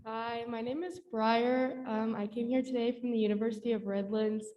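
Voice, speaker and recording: A woman's voice: on the high side, steady tone, normal speed, muffled recording. A young woman giving a casual self-introduction as a visitor at a church microphone. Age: young adult. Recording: reverberant church hall microphone.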